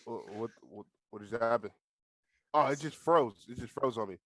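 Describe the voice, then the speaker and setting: A man's voice: mid-range voice, moderate pace, a bit bright, no room sound. An eighteen-year-old man with an American accent, chatting casually as a podcast guest in a laid-back, slangy, sweary way. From United States. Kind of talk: casual chat. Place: bedroom at home.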